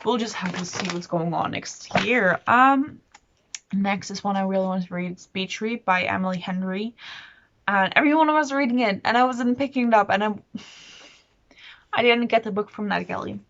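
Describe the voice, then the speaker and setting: A woman with an average-pitched voice chats casually, as if to her viewers on a book vlog. She is enthusiastic and rambles, often poking fun at herself. The recording is a little dull and lo-fi. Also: moderate pace, fairly bright tone. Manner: enthusiastic, rambling, self-deprecating. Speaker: book vlogger. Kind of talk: casual chatty monologue to camera.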